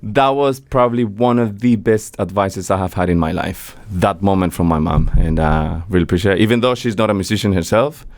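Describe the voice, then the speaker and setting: Lively Mexican-born man in a dry studio. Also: low voice, fairly quick, hardly any pauses, no echo. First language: Spanish. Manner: animated storyteller, laughs often. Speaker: singer-songwriter guest. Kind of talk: casual interview chat.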